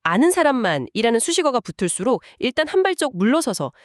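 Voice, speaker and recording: Woman on a radio book show spelling out the takeaway, animated, dry sound. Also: fairly high pitch, fast talker, no room sound. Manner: explanatory, drawing lessons. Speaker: co-host commenting on the story.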